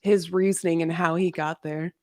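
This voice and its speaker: A woman's voice: on the low side, steady tone, moderate pace, darkish tone. A woman guest chatting casually on a livestream, informal and peppering her sentences with "like". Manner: informal, self-deprecating, peppered with "like". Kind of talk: casual chat.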